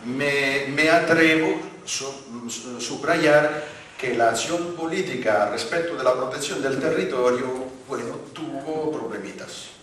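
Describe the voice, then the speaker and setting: A man from Italy with a light Italian accent, giving a public lecture with slides, talking haltingly with many fillers and restarts. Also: mid-range voice, a crowd in the background. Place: large conference hall. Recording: very echoey hall, voice from far off.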